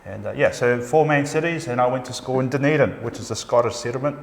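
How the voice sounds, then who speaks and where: A man's voice: medium pitch, fast, gravelly. Kiwi guy chatting on a radio show, easygoing, laughs a lot, sound bouncing round a big echoey room. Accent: New Zealand. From New Zealand. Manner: relaxed, friendly, laughs often, searches for words. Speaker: English teacher, guest. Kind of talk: casual radio interview.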